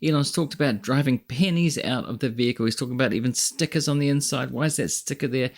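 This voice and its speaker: A man's voice: mid-range voice, fast. Male finance commentator, conversational and critical, reacting off the cuff with a doubtful edge. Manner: skeptical, critical. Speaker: video host and finance commentator.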